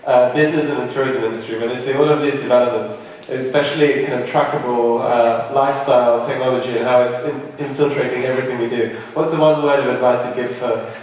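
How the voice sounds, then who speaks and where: A man's voice: mid-range voice, steady tone, fast, a little mellow, slight background noise. A man hosting a conference, speaking politely and enthusiastically as he moderates from the stage. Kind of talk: introducing speakers and asking questions. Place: large conference hall. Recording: echoey hall, distant, crowd behind.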